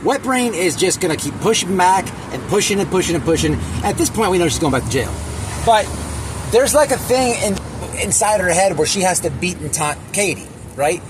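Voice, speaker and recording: High-pitched man ranting over clear background noise. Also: expressive. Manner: repetitive, halting, emphatic, crude slang. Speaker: online commentator. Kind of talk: casual opinionated reaction rant.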